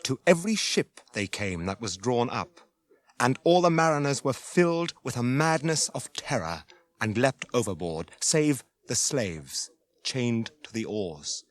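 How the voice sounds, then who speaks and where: A man narrating a 1980s radio drama as a scripted story, measured in delivery. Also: mid-range voice, sing-song, no echo. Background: dramatic music.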